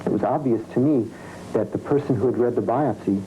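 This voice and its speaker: A man's voice: mid-range voice, brisk, dark tone, slight background noise, rough recording. A middle-aged man, a doctor, explaining and answering questions in an interview. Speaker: dermatologist, department chairman.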